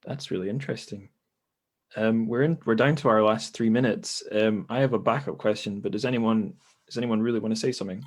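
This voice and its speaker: A man's voice: on the low side, fairly quick. A man hosting a casual podcast discussion in a tentative, self-deprecating way. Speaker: podcast host.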